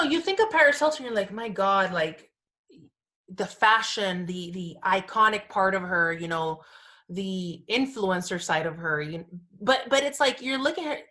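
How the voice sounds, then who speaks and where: A woman's voice: medium pitch, lively intonation, moderate pace, sharp tone. Female podcast co-host, casual and gushing, informal filler-heavy chat, emphatic delivery, mid-range voice. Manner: gushing, emphatic, informal with frequent "like" and "you know". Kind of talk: casual chatty discussion.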